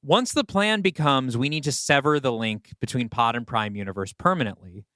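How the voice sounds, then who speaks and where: Podcast guy calmly talking through ideas with his cohost, measured, no echo at all. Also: medium pitch, clean studio sound. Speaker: podcast co-host and story writer. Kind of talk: casual brainstorming conversation.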